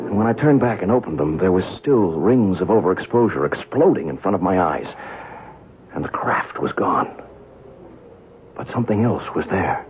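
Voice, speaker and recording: An actor playing a young skeptical newspaper writer in a 1970s radio drama. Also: male voice, low-pitched, expressive, moderate pace. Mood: awed, tense. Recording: tinny, noisy old radio broadcast.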